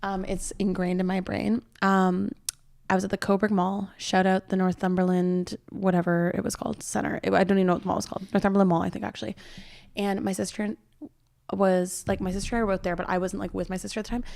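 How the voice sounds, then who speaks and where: A young Canadian woman co-hosting a podcast in casual, chatty, self-deprecating talk with a creaky voice. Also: mid-range voice, little pitch movement, fast, a little mellow. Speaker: podcast co-host.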